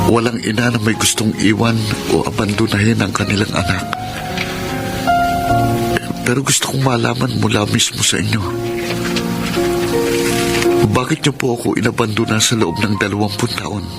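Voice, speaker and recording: Polite, earnest young son. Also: male voice, lowish voice, steady tone, normal speed, vocal fry, long pauses. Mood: hurt, searching. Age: young adult. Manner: respectful, earnest. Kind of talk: radio drama acting. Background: loud music bed.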